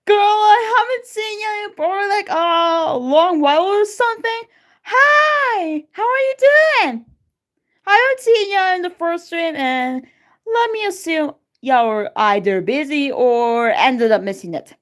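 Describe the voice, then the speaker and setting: Very high-pitched excitable Turkish woman. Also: measured pace. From Turkey. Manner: excitable, greets chat viewers by name. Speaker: live streamer. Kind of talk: casual live-stream chat.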